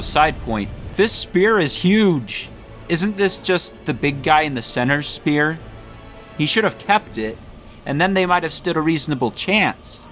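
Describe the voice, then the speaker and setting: A man's voice: a little high, a bit bright. Man narrating comedic mock-grand commentary over music. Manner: mock-grandiose, tongue-in-cheek. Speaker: video essay host. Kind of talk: comedic scripted video commentary.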